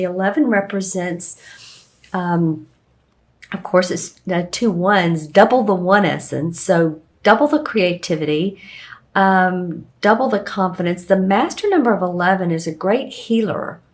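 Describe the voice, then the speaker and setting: A woman with a slightly hoarse voice talks viewers through a tarot spread. She rambles gently, circling back with frequent ums and restarts. Her pitch sits in an ordinary middle range. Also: lively intonation, normal speed. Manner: meandering, with frequent fillers and restarts. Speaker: tarot reader. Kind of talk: casual spoken tarot reading to camera.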